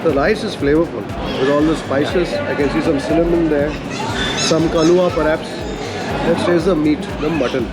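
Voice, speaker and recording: A man from Bangalore with an Indian English accent, describing and judging dishes at a roadside restaurant in a measured, detailed way. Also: on the high side, fairly even intonation, over loud music, over a noisy crowd. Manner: measured, descriptive tasting commentary. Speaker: food vlogger host. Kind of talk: casual food review vlog.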